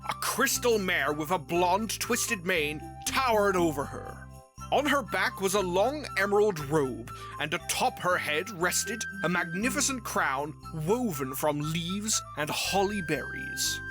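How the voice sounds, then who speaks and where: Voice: mid-range voice, expressive, unhurried. A narrator reading a scripted fan fiction story aloud. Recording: music behind the voice.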